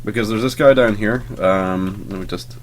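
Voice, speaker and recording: A man's voice: fairly low pitch, normal speed, slight background noise. A young man, just over a cold, casually talking through a game he is playing in a sleepy, rambling way with lots of fillers. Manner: rambling and sleepy, lots of ums, swears offhandedly. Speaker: let's play host. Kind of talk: casual gameplay commentary.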